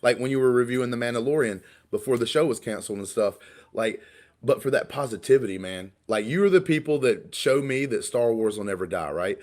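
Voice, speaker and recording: A man's voice: medium pitch, animated, fairly quick, darkish tone. A man in his late thirties co-hosting a casual podcast chat, talking in a rambling way and restarting his words often. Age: 30s. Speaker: podcast co-host.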